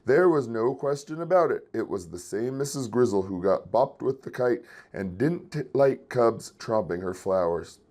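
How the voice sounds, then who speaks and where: A man reading a children's story aloud in an expressive storytelling style. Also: mid-range voice, moderate pace, few pauses. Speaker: children's book reader.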